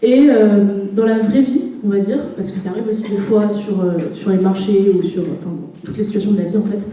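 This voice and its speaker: A young woman from France talks on a conference panel in a loose, slangy, chatty way. Her voice is very dark and mellow. She is heard from a distance in a hall with heavy echo. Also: medium pitch, fairly even intonation, a little fast. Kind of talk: panel discussion talk. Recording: echoey hall, voice from far off.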